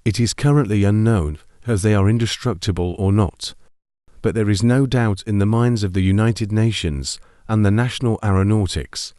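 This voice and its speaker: Man as a low, mellow, grave emergency announcer. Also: no room sound, studio quality, close to an everyday voice. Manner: measured, solemn, formal. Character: grave male TV announcer reading emergency bulletins. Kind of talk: formal scripted emergency broadcast announcement.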